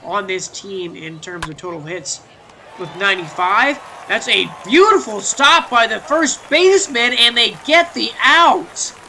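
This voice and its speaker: Congested young male gaming commentator, high and very bright, casual and rambling. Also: a little slow, slight background noise. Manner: chatty, many fillers and restarts, excited on big plays. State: stuffy, congested. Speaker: video game commentator.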